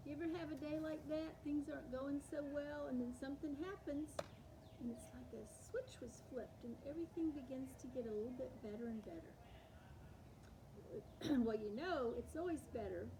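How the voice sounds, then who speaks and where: Female storytime reader, high pitch, unhurried and expressive, addressing young children, with clear background noise. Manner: animated, acts out words with slowed and varied delivery. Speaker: storytime host. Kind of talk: expressive read-aloud of picture books for young children.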